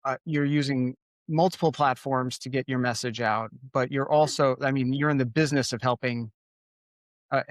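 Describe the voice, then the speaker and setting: A man's voice: medium pitch, fairly even intonation, moderate pace, no echo. A man from Connecticut hosting a podcast in casual chat, rambling with many fillers and restarts. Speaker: podcast host.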